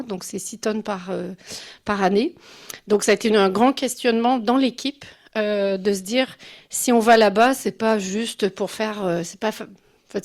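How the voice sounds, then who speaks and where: A mature woman from Quebec gives an informal conference talk as a guest speaker, in a personal and reflective way. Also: mid-range voice. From Quebec City, Canada. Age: 50s or older. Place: live talk before an audience.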